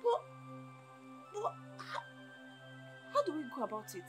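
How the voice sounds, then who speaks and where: A woman's voice: on the high side, very animated, moderate pace, over music. An actress playing a hesitant but devoted female accomplice with a Nigerian accent, questioning and echoing words back. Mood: doubtful, conspiring. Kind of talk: conversational film drama acting.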